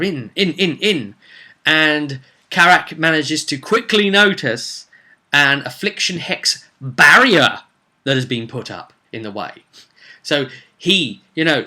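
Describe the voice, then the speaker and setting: A man's voice: medium pitch, pushed and bright. A man casually retelling a tabletop roleplay session as game master, telling it dramatically and repeating phrases for suspense. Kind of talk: casual animated storytelling recap.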